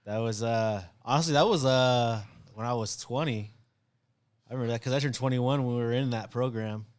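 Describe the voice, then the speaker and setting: A man's voice: medium pitch, a little fast, clean tone. Guy in his 30s, regular mid voice, just chatting as a podcast guest. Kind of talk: casual chat.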